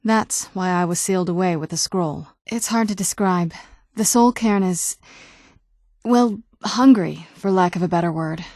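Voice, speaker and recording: A centuries-old yet youthful vampire woman for a fantasy video game. Her voice sits fairly low and is recorded close and dry. She talks in a measured, conversational way, guarded and wistful, with wry understatement. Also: a little mellow, no room sound. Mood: guarded, explanatory. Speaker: video game companion character. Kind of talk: video game dialogue acting.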